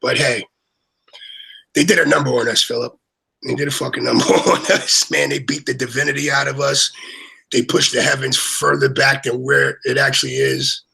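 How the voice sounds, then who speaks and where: A man from Brooklyn with a New York accent, chatting casually over a video call, swearing and excitedly repeating "you know what I mean". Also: medium pitch, normal speed, vocal fry, husky, low-quality recording.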